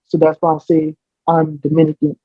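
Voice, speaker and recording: A man's voice: fairly high pitch, monotone, fairly quick, dry recording, highs cut off. A young American man in casual podcast talk, explaining at length with many restarts and fillers. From United States. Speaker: podcast guest. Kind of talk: casual chat.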